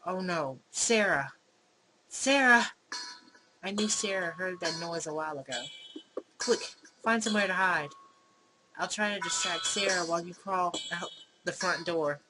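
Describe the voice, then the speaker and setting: A woman plays a visual novel man, bewildered and quick to argue. The delivery is indignant and pedantic with a sarcastic edge, at a measured pace. Clear noise sits behind the voice. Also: fairly low pitch, lightly acted. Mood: alarmed, indignant. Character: bewildered, argumentative man facing his own double. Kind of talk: visual novel character read-aloud.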